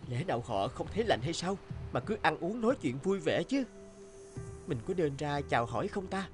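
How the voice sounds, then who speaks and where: Man acting as an earnest young castaway, higher-pitched. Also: animated, normal speed, faint music behind, close to an everyday voice. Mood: wondering, uneasy. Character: young castaway fisherman, earnest, easily amazed. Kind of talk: dubbed cartoon fairy-tale acting.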